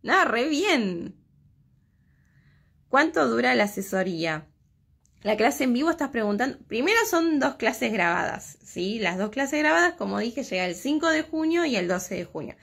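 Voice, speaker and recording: A woman's voice: a little high, big pitch swings, normal speed, creaky, a bit bright. A woman in her thirties with an Argentine Spanish accent, a crochet teacher talking casually with viewers and answering their comments from a room at home. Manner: chatty, reading out and answering comments. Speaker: crochet teacher hosting a livestream. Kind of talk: casual live chat with viewers. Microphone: phone at arm's length.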